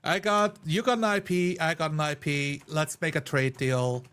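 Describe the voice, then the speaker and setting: A man's voice: a little high, fairly even intonation, moderate pace, a bit bright, clean tone. A man bantering casually as a player on a tabletop gaming stream.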